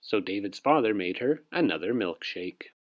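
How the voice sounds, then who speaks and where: A man narrating a children's storybook aloud in an expressive read-aloud style. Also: fairly low pitch, normal speed. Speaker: storybook reader.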